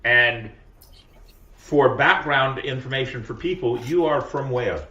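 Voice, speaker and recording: A man's voice: mid-range voice, a little slow, bright, forceful tone. A man hosting a podcast, talking casually in a rambling, self-correcting, jokey way. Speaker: podcast host. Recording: roomy, distant, dull lo-fi sound.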